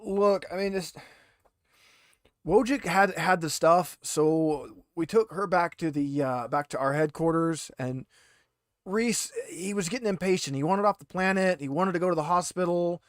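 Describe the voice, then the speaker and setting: A man's voice: high-pitched, moderate pace, no room sound, clean studio sound, close to an everyday voice. A man voicing a short balding insurgent prisoner who is defensive and evasive, stammering indignantly.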